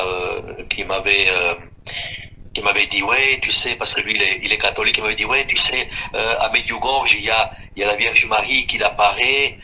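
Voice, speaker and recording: A man of fifty-six from Switzerland speaks in a halting, repetitive way as he gives an unscripted personal testimony. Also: lowish voice, pushed and bright, poor audio. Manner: halting, repeating himself. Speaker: guest giving a personal testimony.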